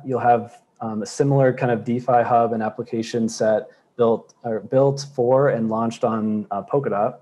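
30s guy walking thru slides on a webcam call, mellow voice, lots of um and kind of. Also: mid-range voice. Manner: explanatory, with frequent "um" and "kind of". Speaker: crypto project presenter. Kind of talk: explaining slides at a virtual conference. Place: indoor room at home. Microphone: webcam on a video call.

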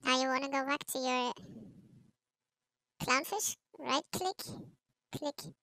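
A high, squeaky helium voice made by a man with a voice changer reads out tutorial steps. It is electronically pitched up, sharp and dry, with an instructional manner. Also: takes it slowly, dry recording, exaggerated voice. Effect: pitch-shifted up by voice changer. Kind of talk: step-by-step tutorial narration.

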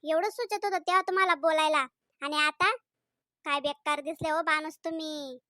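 A woman voicing a young married housewife in a comedy cartoon, chatty and quick to scold her husband. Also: high-pitched, fairly even intonation, pushed and bright, dry recording, studio quality. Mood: indignant. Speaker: wife.

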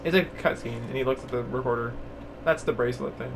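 A man's voice: mid-range voice, quick pace, gravelly. A man hosting a casual video game playthrough, chatting in jokey, silly slang and mild mock insults over game ambience. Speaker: gaming channel host, player. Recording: noisy, game sound behind voice. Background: horror video game ambience.

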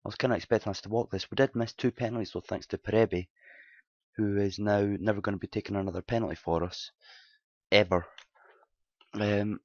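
A man with a slightly hoarse, dry voice casually talking through a football management game, rambling and correcting himself as he recaps results. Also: no echo. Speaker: game commentator. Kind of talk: casual gameplay commentary. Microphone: desktop mic at home, quiet. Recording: dull low-fi mic.

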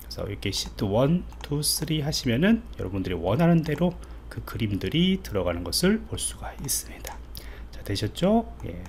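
A man's voice: mid-range voice, big pitch swings, normal speed, darkish tone, a little hiss or hum. A man giving an informal screencast programming tutorial as an instructor, explaining step by step and checking in with his listeners.

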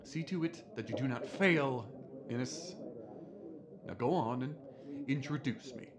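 A man's voice: medium pitch, animated, measured pace, natural voice. A man playing a haughty, contemptuous commander giving orders to an underling in an audio drama. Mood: menacing, commanding. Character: imperious male commander, menacing and contemptuous. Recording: noisy covert room recording.

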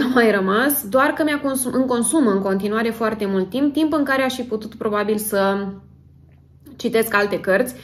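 A woman in her thirties from Romania, chatting casually to camera in a chatty, self-dramatizing way, as a vlogger at home. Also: medium pitch. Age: 30s. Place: home kitchen, indoors.

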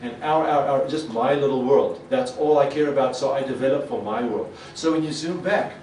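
A man's voice: mid-range voice, normal speed, slight background noise. Man lecturing in an echoey hall. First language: Afrikaans. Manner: animated, gestures a lot, acts out quoted reactions. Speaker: conference presenter. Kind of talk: conference talk. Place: conference hall with projector screen. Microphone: podium mic. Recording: echoey hall, mic a bit far.

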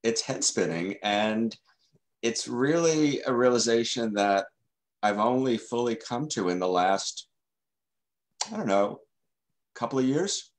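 A man's voice: medium pitch, lively intonation, measured pace, long pauses. An older man who stutters, with blocks, repeated sounds and fillers, speaking as a guest on a video call from a room at home. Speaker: guest, journalist.

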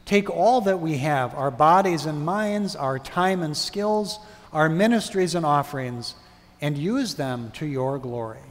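A middle-aged man leading prayers and a blessing as pastor, reading in a measured, solemn way in a large church. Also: a little high. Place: large brick church sanctuary. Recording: long church reverb.

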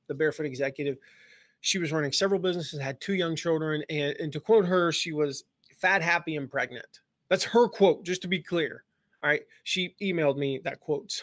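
A man's voice: on the high side, a bit bright, no echo, studio quality. A man giving a casual live-stream business lesson, rambling and joking with lots of fillers and restarts. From Southern United States. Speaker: online business coach.

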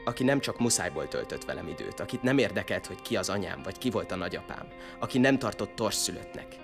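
An earnest young male outcast acted by a man in a sci-fi audio drama, defiant and easily flustered. His pitch moves freely, and music plays clearly behind him. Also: lively intonation. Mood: solemn.

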